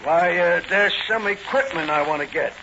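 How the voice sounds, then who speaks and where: An actor playing a sly, suspicious, slangy crook boss in 1940s radio style. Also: male voice, sits high, moderate pace, creaky. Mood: suspicious, needling. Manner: slangy, tough. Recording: scratchy, muffled 1940s radio recording.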